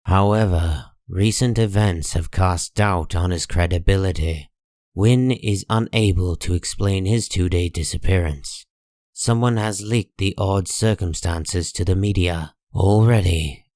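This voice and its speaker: A man reading dramatic comic-book narration in a grave, measured and deliberate manner. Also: low-pitched, darkish tone, no room sound, studio quality.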